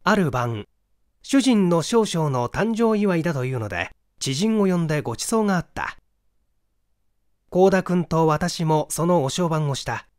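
A middle-aged man reading literary mystery fiction aloud in an expressive, dramatic storytelling manner. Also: fairly high pitch, no room sound, clean studio sound. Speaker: audiobook reader, stage actor.

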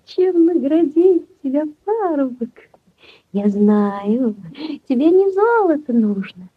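An actress playing a sorrowful young drowned water spirit in a breathy, plaintive 1940s Soviet radio style. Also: female voice, high voice, very animated, takes it slowly, no room sound, full character voice. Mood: tender. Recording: dull 1940s radio recording, faint music.

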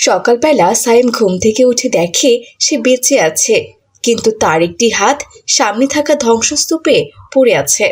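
A woman's voice: a little high, slight background noise. A woman giving scripted narration for a cartoon story.

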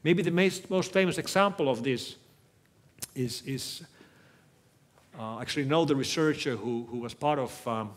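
A middle-aged man whose native language is Finnish, giving a measured, thoughtful keynote talk on stage in a lecture hall, speaking into a headset mic. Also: medium pitch. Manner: measured, thoughtful, frequent restarts. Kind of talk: conference keynote with audience Q&A.

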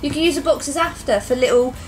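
High-voiced woman, 30s, enthusiastic shop presenter; camera on table in a small room, music underneath. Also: fast, poor audio. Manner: chatty, enthusiastic, gushing. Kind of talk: casual product showcase chat. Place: small indoor stockroom.

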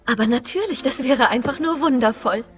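A woman playing an earnest, sighing aunt in an animated film dub. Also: high-pitched, a little fast, soft background music, natural voice. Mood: worried, resigned. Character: earnest aunt running a struggling family riding academy.

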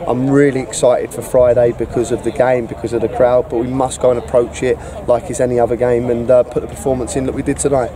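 A football manager speaks outdoors after a match, upbeat and determined about standards. His pitch stays flat and even. Crowd sound rises behind him. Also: fast talker, few pauses. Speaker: football manager, interview guest. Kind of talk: post-match interview.

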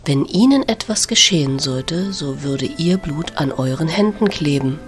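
A woman playing a scheming goddess mother in an audio drama, speaking in a smooth, persuasive way. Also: deep voice, animated, measured pace, faint music behind. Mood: stern.

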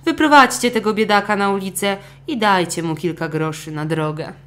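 A woman's voice: mid-range voice, moderate pace, fairly bright tone, a little hiss or hum, pitched above the performer's own voice, close to an everyday voice. A woman voicing a haughty, demanding fisherman's wife turned queen in a children's storybook, imperious and scornful.

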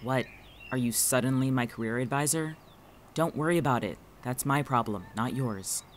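A man's voice: medium pitch, normal speed, a little hiss or hum, natural voice. An actor playing a guarded, sarcastic male college student in a fiction podcast, with a dry, curt manner. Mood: irritated.

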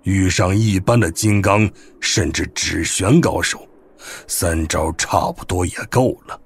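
A male actor playing a gruff, mocking old martial master in a Chinese wuxia audio drama, with drawn-out scolding delivery. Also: low voice, full character voice. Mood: scornful.

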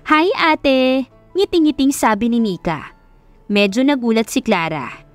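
A woman narrates a scripted romance like a dramatic audiobook. Her voice is fairly high with very varied intonation. Background music plays underneath. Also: very animated, normal speed, dry recording.

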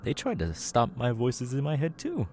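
A man playing a young, unhinged masked serial killer in an ASMR audio roleplay, creaky and halting, muttering with erratic, twitchy menace. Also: mid-range voice, huge pitch swings, a little mellow, slight background noise. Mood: agitated. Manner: halting, muttering, sudden tics and hoots.

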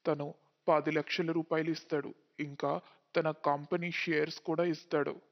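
A man's voice: a little high, little pitch movement, moderate pace, lightly acted. A man playing a stern older father in a cartoon story. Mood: firm. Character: stern older father pushing an arranged marriage. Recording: muffled, long hall reverb.

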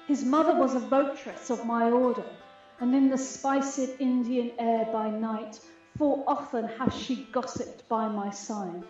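A woman playing a proud, aggrieved fairy queen in a Shakespearean radio play, speaking stately, declamatory verse. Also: a little high. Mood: tender, resolute. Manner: stately, measured, declamatory delivery. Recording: heavy reverberant echo, muffled, music behind. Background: music.

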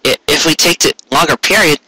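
A man voicing an anxious, gentle young stallion pony in an audio story, pleading in a halting, stammering way. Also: a little high, no echo, pitched above the performer's own voice, rough recording.

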